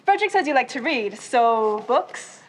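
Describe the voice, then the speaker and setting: Girl playing a fancy victorian actress lady in a school play, high voice, very theatrical and polite. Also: moderate pace, rough recording. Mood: gracious. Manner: dramatic, polite, expressive. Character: Mrs. Kendall, gracious, theatrical Victorian stage actress.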